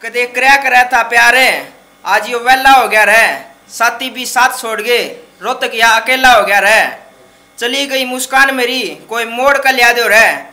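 Male open-mic poet, twenties, Haryanvi accent. High-pitched, intensely bright and forceful, dramatic verse delivery. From Haryana, India. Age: 20s. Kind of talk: shayari poetry recital. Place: small dark indoor open-mic stage. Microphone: microphone on stand close in front.

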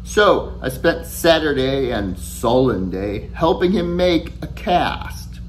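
A middle-aged man, an author reading his children's novel aloud to camera in an expressive, deliberate storytelling manner. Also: mid-range voice, a little hiss or hum. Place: furnished room at home, indoors.

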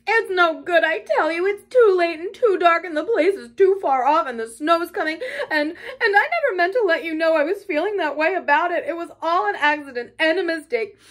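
A small, homesick male mole played by a woman reading a storybook. The voice is very high and plaintive, breaking with sobs. He sounds timid and pleading, always close to tears. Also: animated, brisk, hardly any pauses, fairly bright tone. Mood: tearful, pleading. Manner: sobbing, broken, plaintive delivery. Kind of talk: storybook character acting.

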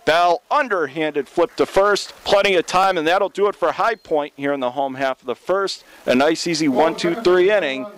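An American man calling baseball play-by-play for radio from a stadium press box, in a measured, descriptive way with plenty of detail. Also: a little high, a bit bright. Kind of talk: live sports radio play-by-play commentary.

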